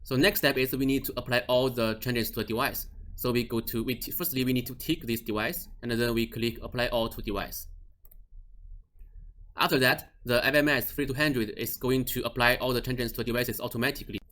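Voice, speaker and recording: A man's voice: medium pitch, steady tone, brisk, a bit bright. A man explaining a device setup step by step in a screen-recorded tutorial, with frequent restarts and slightly non-native phrasing. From Australia or New Zealand region office. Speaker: product trainer.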